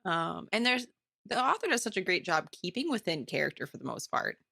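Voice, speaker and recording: A woman with a lower-pitched, bright voice and a gravelly fry edge chats casually as a podcast co-host. She sounds informal and talkative, recorded close and dry. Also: sing-song, fairly quick, no echo. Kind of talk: casual book club chat.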